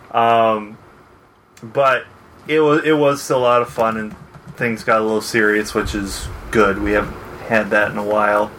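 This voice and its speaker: A man speaking as a podcast guest in casual chat, measured and dry. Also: on the low side, steady tone, a bit bright, slight background noise.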